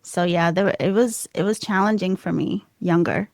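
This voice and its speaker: A soft-voiced woman from the United States, telling candid stories as a podcast guest, laughing often and poking fun at herself. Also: fairly low pitch, normal speed, vocal fry, no room sound, studio quality. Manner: laughs often, animated and self-deprecating. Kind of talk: candid conversational storytelling.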